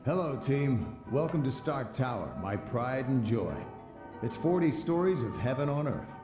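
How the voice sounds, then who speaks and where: A man's voice: medium pitch, very animated, measured pace, reverberant, in a large hall, over music. An actor playing a boastful, wealthy male inventor in a video game cutscene, smug and showy. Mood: proud.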